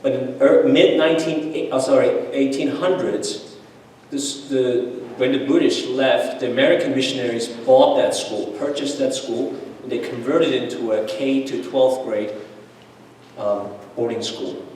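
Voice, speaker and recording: Man, church seminar speaker, animated storytelling, restarts, very echoey hall, far from the mic. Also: medium pitch, a little slow, a little hiss or hum. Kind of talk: personal testimony talk.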